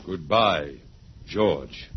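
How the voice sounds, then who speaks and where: An actor playing a weary, wry, stubborn man in 1950s science fiction radio style, halting at times and emphatic at others. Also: sits low. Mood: wry, relieved. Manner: halting then emphatic, wryly ironic. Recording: muffled, hissy vintage radio broadcast.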